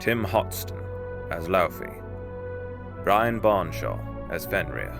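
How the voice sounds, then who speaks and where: A man's voice: low voice, very animated, slow, vocal fry. A man reading scripted credits as an announcer. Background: music.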